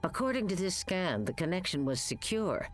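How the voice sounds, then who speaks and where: A middle-aged woman playing a commanding, supportive hologram captain who mentors cadets in an animated game. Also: on the low side, normal speed, faint music behind. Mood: matter-of-fact.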